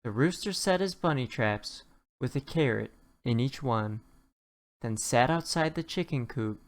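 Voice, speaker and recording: A narrator reading a rhyming children's storybook aloud in an expressive storytelling way. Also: mid-range voice, a little slow. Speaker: children's book reader.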